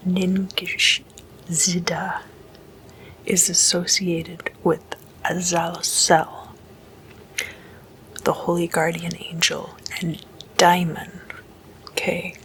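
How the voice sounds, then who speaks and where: A woman doing whispered ASMR as a host, hushed and deliberate, mostly in breathy, unvoiced whisper. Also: fairly low pitch, rough recording. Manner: slow, hushed, deliberate delivery.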